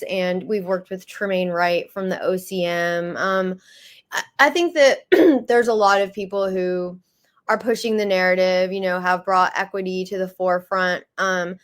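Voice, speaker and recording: A woman from the United States, a magazine founder and publisher, answering interview questions in a casual, hesitant way full of fillers and restarts; she calls herself a little shy. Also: fairly low pitch. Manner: self-described shy.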